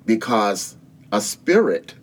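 A man's voice: on the low side, very animated, takes it slowly. A man in his sixties speaking as a guest in an interview about faith, earnest and preacherly. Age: 60s. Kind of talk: spiritual interview talk.

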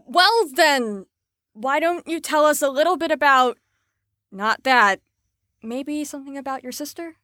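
Harried, placating female group leader for audio drama, acted by a woman: highish, officious, closely recorded. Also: unhurried, pushed and bright, no room sound, studio quality. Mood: patient, wry.

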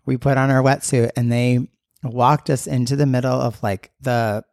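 A man's voice: medium pitch, steady tone, normal speed, a little mellow, no room sound, studio quality. A young adult man, a teacher co-hosting a casual podcast, talking enthusiastically with lots of fillers. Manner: enthusiastic, uses many fillers. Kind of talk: casual conversation.

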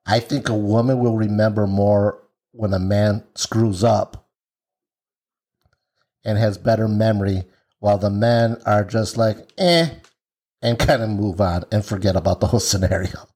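A man with a raspy voice co-hosting a casual podcast, hesitant and fumbling for words at times. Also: lowish voice, a little slow, a little mellow. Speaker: podcast co-host.

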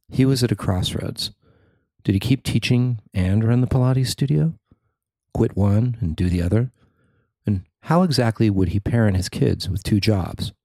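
A man narrating a newspaper feature he wrote, in a measured, even reading. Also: fairly low pitch, no room sound. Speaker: newspaper columnist reading his story. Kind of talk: scripted narration.

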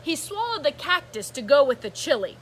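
A woman reading a rhythmic picture book aloud to children like a teacher, animated and sing-song, with asides to her listeners. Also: sits high, slight background noise. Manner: animated, sing-song, drawn-out exclamations and gasps; engaging listeners with asides and prompts. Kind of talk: rhythmic storybook read-aloud.

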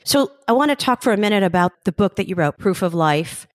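A woman's voice: mid-range voice, lively intonation, brisk, no echo. A woman hosting an interview podcast, asking enthusiastic, complimentary questions. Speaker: podcast host.

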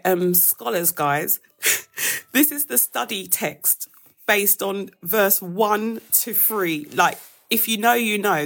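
Croaky gravelly woman leading a slow devotional. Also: medium pitch, fairly bright tone. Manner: casual, chatty Bible teaching to a live audience. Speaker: devotional host. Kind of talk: live-streamed daily devotional and prayer.

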